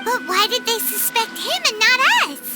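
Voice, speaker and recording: A woman voicing a tiny, childlike, excitable fairy companion in a video game, squeaky and exaggerated in her delivery. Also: measured pace, exaggerated voice. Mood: anxious, relieved. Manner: squeaky, exaggerated, refers to herself in third person. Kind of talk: scripted video game character dialogue. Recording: clear music behind the voice.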